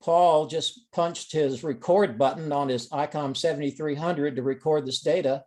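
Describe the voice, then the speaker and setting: A man speaks in a fairly high register as he presents experimental data on a teleconference. His style is informal and explanatory, working through the material one step at a time. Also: steady tone, normal speed, darkish tone. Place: online teleconference call.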